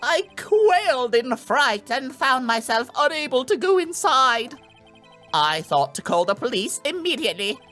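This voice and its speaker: A man's voice: extremely high pitch, very animated, unhurried, pushed and bright, soft background music, voice pushed up, heavily acted. A man voicing a jittery salesman on the witness stand in a video game, overdramatic and emphatic. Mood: dramatic. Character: jittery, high-voiced male salesman testifying as witness. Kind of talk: dramatic video game dubbing.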